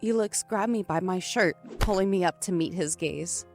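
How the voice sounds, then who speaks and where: A woman's voice: fairly low pitch, moderate pace, darkish tone, natural voice. An actress playing a frightened but defiant trapped woman in a first-person thriller audio drama, with dramatic, suspenseful delivery. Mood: tense, uneasy. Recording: music under the voice.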